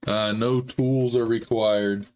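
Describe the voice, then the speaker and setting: A man hosting a hobby model-building channel, talking in a casual, rambling way with frequent fillers, his voice slightly hoarse. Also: on the low side, steady tone, slow pace, a little mellow. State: slightly hoarse, recovering from a chest illness. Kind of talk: casual build vlog narration.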